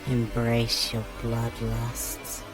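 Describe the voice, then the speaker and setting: A man voicing a sinister, tempting talking box in a horror play, coaxing in a repetitive monotone with a low, creaky voice. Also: very slow, heavy reverb, in a large hall, low-quality recording. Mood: menacing coaxing. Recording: loud music over the voice.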